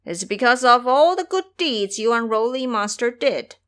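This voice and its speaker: A woman's voice: fairly high pitch, lively intonation, normal speed, fairly bright tone, voice pushed up. A woman voicing a brash, foolish male pig-monk warrior, boastful and blunt, in an audio drama. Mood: commanding.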